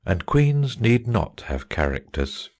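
A woman voicing a solemn, pompous golden phoenix, a male bird, speaking slowly and precisely in a storybook reading. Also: a bass voice, very animated, darkish tone, dry recording, studio quality, pitched below the performer's own voice, exaggerated voice. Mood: solemn, lofty. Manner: slow, stately, precise.

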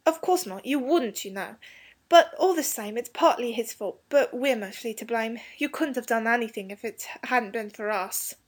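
Storybook schoolboy, acted by a woman, high voice, eager, earnest, slangy, flustered but polite. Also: moderate pace, breathy edge. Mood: hastily courteous. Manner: earnest, boyish slang, stumbling over words. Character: eager, hasty young English schoolboy, flustered but courteous. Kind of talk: storybook character dialogue.

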